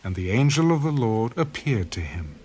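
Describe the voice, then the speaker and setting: A man with a mid-pitched voice narrates a dramatized scripture story. He reads from a script as the storyteller, with music clearly playing behind him. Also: sing-song, normal speed, a little mellow.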